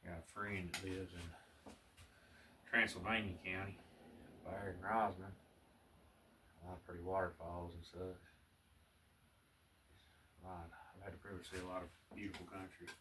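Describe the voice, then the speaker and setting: A man with a strong Southern US accent, chatting in a folksy, unhurried way as he teaches painting, murmuring asides to himself. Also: deep voice, level tone. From American South. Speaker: painting instructor. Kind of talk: relaxed painting tutorial with casual chat. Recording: distant mic with clear background noise.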